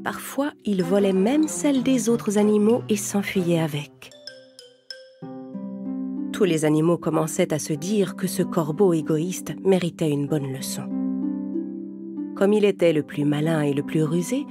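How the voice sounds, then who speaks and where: A woman with a slightly low voice tells a children's fable. She reads in a measured, expressive storyteller's style over clearly audible music. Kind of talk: scripted children's fable narration.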